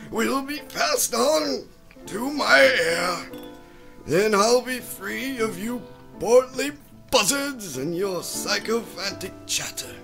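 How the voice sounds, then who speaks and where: Radio-play villainous old aristocrat: very high, swooping voice, haughty, bitter, frail. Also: male voice, vocal fry, full character voice. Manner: haughty, sputtering, theatrical. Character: sick, bitter, imperious old rich man near death. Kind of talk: comic radio-play acting.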